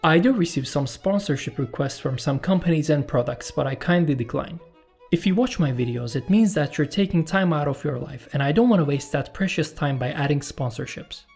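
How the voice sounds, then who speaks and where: A Turkish man, a native Turkish speaker, hosting his channel and reading out viewer questions and his answers in a calm, measured voice-over. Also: on the high side, gravelly, faint music behind. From Turkey. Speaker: YouTube channel host. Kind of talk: scripted Q&A voice-over.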